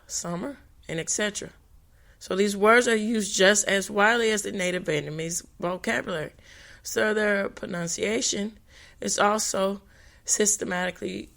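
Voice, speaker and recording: Slow, gravelly-voiced woman podcaster. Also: medium pitch, fairly bright tone. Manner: halting, with restarts on hard words and brief apologies. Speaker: podcast host. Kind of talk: reading notes for a language talk.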